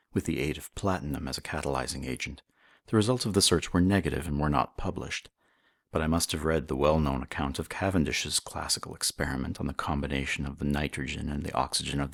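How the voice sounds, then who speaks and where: Very deep, husky male narrator. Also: no echo, clean studio sound. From Canada. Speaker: audiobook reader. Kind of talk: formal scripted narration, reading a historical science text.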